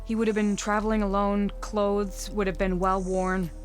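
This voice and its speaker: A woman's voice: mid-range voice, little pitch movement, moderate pace, lightly acted. A woman playing an earnest young huntress. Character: young female hunter, proud and driven. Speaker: game companion. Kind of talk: video game voice acting. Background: game music.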